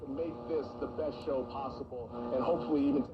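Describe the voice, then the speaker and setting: A man's voice: mid-range voice, steady tone, a little fast. A man doing radio broadcast talk, picked up on a vintage receiver with interference. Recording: very noisy, muffled vintage radio reception. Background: loud interference hum.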